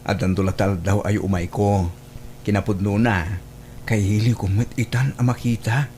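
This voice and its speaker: A man's voice: on the low side, fairly even intonation, normal speed, a little mellow, slight background noise, natural voice. A man with an Ilocano Filipino accent acting a plain-spoken office colleague and family friend in an audio drama. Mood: friendly.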